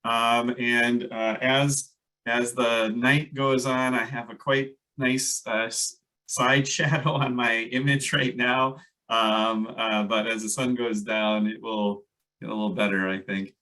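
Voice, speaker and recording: A man's voice: mid-range voice, little pitch movement, slow pace, sharp tone, far from the mic, rough recording. A man with a Minnesota accent giving a workshop talk over a video call, loose and hesitant with many fillers. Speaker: landscape ecologist presenter. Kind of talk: online workshop presentation.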